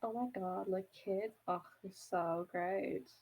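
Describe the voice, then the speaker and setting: A woman joins a casual stream chat with a mid-range voice. She teases her friend and drops in quick, offhand asides. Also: mid-range voice, fairly even intonation, dull audio.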